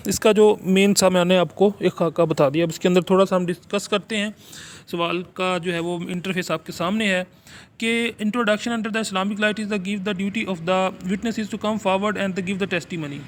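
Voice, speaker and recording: A man with a Pakistani accent and a high-pitched voice gives an informal lesson. He shifts between careful, halting reading of notes and looser explanation, like a teacher preparing students for an exam. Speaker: law teacher. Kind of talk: informal exam lecture, explaining read-out English notes in Urdu.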